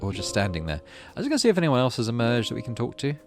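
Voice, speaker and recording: A man's voice: medium pitch, wildly swooping pitch, very fast. A man hosting a gaming video, reading game text aloud like a storybook and adding casual asides. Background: music.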